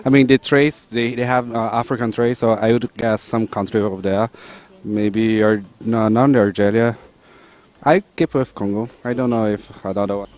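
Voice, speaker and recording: Guy on the street guessing, kinda hesitant, thinking out loud. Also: on the low side, steady tone, normal speed. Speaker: passerby interviewed. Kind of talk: street interview answers. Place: outdoors on a city street.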